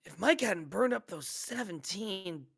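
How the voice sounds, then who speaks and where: A man's voice: high-pitched, lively intonation, measured pace, vocal fry, fairly bright tone, breathy edge, full character voice. A man acting a weary, dejected game player in a naturalistic audio drama table read, hesitant and rambling, with some swearing. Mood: wistful, earnest.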